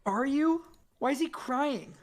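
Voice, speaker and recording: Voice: high voice, lively intonation, a little slow, voice pushed up. A game master playing a stern male wizard instructor who sounds dry and exasperated.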